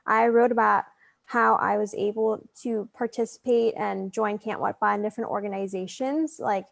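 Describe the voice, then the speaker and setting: A woman's voice: medium pitch, steady tone, normal speed, gravelly, no echo. A young woman answering interview questions earnestly, with lots of "like" fillers. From United States. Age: young adult. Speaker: scholarship recipient guest.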